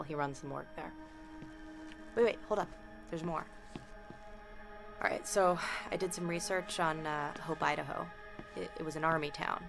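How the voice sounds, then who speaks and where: A woman's voice: deep voice, vocal fry. An actress playing an anxious, blunt young American woman in a naturalistic game drama. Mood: urgent. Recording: faint music behind.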